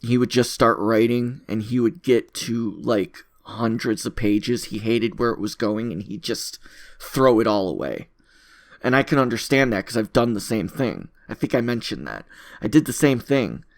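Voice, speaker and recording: A man's voice: medium pitch, animated, normal speed. A man talking casually in an unscripted audio log, rambling in a self-deprecating way and repeating his phrases. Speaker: content creator recording an audio log.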